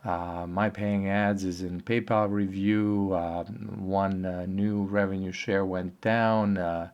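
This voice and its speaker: A hoarse man giving a casual, rambling video update, with many pauses and fillers. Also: sits low, flat delivery, lo-fi sound. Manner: halting, rambling, filler-heavy. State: hoarse from a cold. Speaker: online earnings vlogger. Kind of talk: casual talking-head update.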